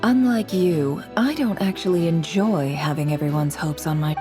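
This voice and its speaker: A woman voicing a composed, shrewd, commanding female strategist in a game, speaking in a measured, formal, self-assured way. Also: low-pitched, music in the background, natural voice. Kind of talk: game character voice acting.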